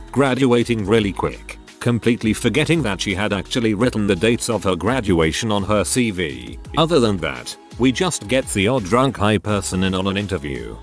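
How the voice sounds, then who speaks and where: A man narrating online posts in an even, low-key reading voice. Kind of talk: scripted narration.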